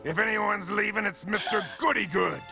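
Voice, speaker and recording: A man voicing the sinister, growling second personality of a tormented man in an animated series, slow and ominous. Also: loud music behind the voice. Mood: menacing. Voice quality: deep gravelly growl. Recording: loud dramatic score behind.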